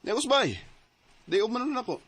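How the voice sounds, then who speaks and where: A hesitant young man, bright voice, trips over his words, radio play. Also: very high-pitched, animated, rapid-fire, slight background noise. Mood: casual. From Cebu, Philippines. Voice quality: hesitant, stammering. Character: young man, torn between love and money. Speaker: radio drama lead. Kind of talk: radio drama acting.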